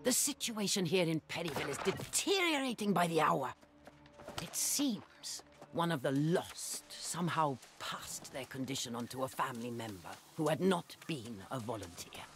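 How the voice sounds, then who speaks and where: A woman's voice: fairly low pitch, slight background noise. An actress voicing a driven female researcher in a fantasy game, clinical, self-justifying and theatrical as she narrates her journal. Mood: angry, defensive. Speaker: voice actor of a game character.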